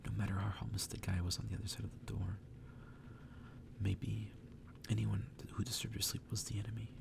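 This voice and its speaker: A man reading a story aloud in a hushed, breathy ASMR whisper. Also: level tone, a little fast, noisy background. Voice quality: breathy whisper, gravelly low voice. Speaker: ASMR reader.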